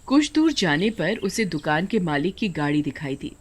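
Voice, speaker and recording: A woman's voice: lowish voice, big pitch swings, moderate pace, slightly rough. A woman narrator reading a scripted moral tale as storytelling.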